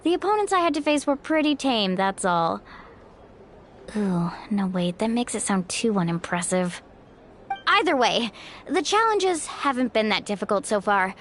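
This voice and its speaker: A woman's voice: medium pitch, sing-song, normal speed, a bit bright, slight background noise. A woman voicing a confident, playful, sisterly young female warrior in a video game. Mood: breezy, proud. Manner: breezy, playful, self-assured. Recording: faint music behind. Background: faint music.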